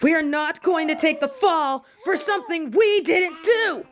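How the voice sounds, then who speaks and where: A woman's voice: very high voice, a little slow. A woman voicing a hot-headed young witch student in an audio drama, brash, sarcastic and confrontational. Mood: angry, determined. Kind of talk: scripted audio drama acting.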